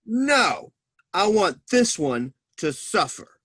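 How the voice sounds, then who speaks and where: A man's voice: sits high, big pitch swings, takes it slowly, fairly bright tone, pitched above the performer's own voice, full character voice. Man playing a vengeful, slangy tough guy. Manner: gruff slangy threats, folksy wiseguy talk. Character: brooding, vengeful tough guy robbed of his boulder. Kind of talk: comic stage play reading.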